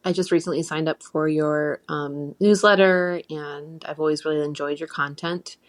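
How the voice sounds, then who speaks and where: A woman's voice: sits low, steady tone, moderate pace. An American woman from Michigan leaving a casual voice message as a listener, polite and a little tentative. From Michigan, United States.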